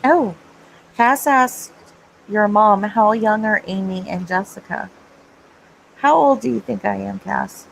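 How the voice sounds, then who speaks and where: A woman's voice: medium pitch, takes it slowly, gravelly, pauses often, a little hiss or hum, low-quality recording. A woman in her thirties chatting casually on a video call stream and laughing often. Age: 30s. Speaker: panelist on a live stream. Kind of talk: casual group chat.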